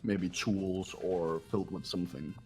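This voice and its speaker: Gravelly low-voiced man chatting. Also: fairly even intonation, measured pace, mellow, rough recording. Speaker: player in group game playthrough. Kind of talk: casual group chat. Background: faint music.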